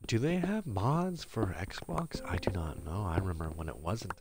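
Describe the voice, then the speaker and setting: A man's voice: low-pitched, lively intonation, normal speed, background noise. A man with a stuffy nose giving upbeat, rambling commentary as he plays a game and talks to his viewers. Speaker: gaming streamer. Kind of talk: casual live gameplay commentary. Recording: game music playing loudly behind. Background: game music.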